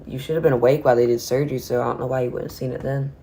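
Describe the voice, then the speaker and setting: A hoarse teenage boy chatting casually at the end of a livestream, rambling and restarting his phrases. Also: medium pitch, flat delivery, very fast, mellow, a little hiss or hum. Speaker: streamer.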